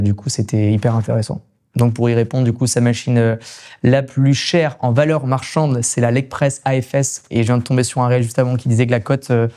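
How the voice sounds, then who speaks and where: Man, rapid speech, soft dark tone, casual podcast storytelling, English loanwords. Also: mid-range voice. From France. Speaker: podcast guest, gym equipment coach and reseller. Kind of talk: casual anecdotal interview chat.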